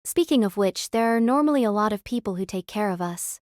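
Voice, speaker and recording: A woman's voice: mid-range voice, animated, fairly quick, darkish tone, dry recording, clean studio sound, natural voice. A woman playing a curious, questioning young anime character in scripted audio drama dialogue.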